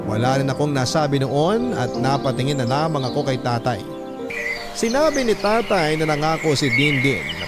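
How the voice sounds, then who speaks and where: A man performing as a young Filipino son telling his family's story in a dramatized radio-style narration. His mid-pitched voice is earnest and reflective, set over prominent music. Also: natural voice. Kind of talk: first-person dramatized narration.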